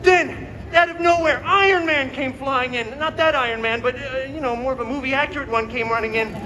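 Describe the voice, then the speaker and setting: A man's voice: extremely high pitch, brisk. A man playing a cocky, wisecracking comic-book antihero, telling a fairy tale theatrically to a live audience with sarcastic asides. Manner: sarcastic, self-mocking asides. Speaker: costumed character performer hosting live story show. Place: outdoor theme park show, filmed from audience. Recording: noisy outdoor crowd recording of live show.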